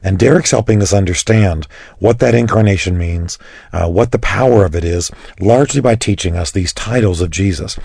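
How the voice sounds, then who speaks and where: A man hosting a religious radio program, earnest and chatty, his voice a little raspy today. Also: deep voice, normal speed, darkish tone, dry recording, clean studio sound. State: slightly raspy voice. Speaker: radio host. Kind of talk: warm-up introduction on radio.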